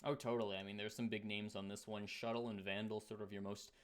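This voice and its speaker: A man's voice: fairly low pitch, flat delivery, quick pace. A man with a Canadian accent giving live esports commentary in a conversational, analytical way as a caster.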